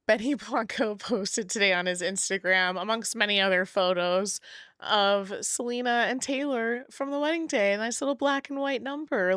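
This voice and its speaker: A woman co-hosting a casual podcast over a video call, giggly and upbeat, her voice a little raspy after a weekend wedding. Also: a little high, a bit bright, no echo, clean studio sound. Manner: giggly, upbeat, frequent laughs and fillers. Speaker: podcast co-host. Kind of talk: casual chat. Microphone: video call setup.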